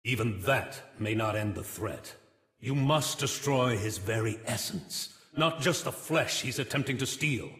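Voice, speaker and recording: Spectral warrior sage for a video game: heavy echo and reverb, medium pitch, solemn warnings delivered with grave urgency. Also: male voice, expressive, unhurried, fairly bright tone. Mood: solemn urging. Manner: grave, portentous. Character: solemn, urgent ghostly male mystic warrior. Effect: echoing reverb. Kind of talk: dramatic video game acting. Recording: echoing, long reverb.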